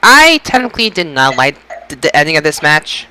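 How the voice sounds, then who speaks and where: A man's voice: on the high side, sing-song, brisk, background noise. A man hosting a casual wrestling results podcast, often stammering and repeating his phrases. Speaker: podcast host.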